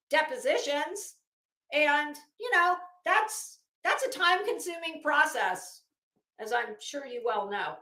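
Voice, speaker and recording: High-pitched, theatrical woman streamer. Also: sharp tone. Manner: theatrical, sarcastic. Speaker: livestream host. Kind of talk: dramatic reading with off-the-cuff commentary. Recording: echoey, roomy sound.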